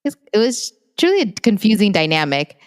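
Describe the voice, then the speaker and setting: A young adult woman co-hosting a podcast, reflecting on family memories in an unhurried, casual way. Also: medium pitch, big pitch swings, in a large hall. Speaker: podcast co-host. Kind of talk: casual chat.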